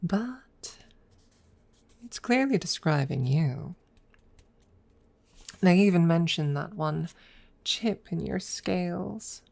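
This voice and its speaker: Slow, low, haughty dragon rival, voiced by a woman. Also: expressive, creaky, heavily acted. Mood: wry. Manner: haughty, measured, teasing, with soft whispered moments. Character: proud, teasing dragon rival hiding affection, hoarder of treasure. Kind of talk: slow ASMR audio roleplay acting.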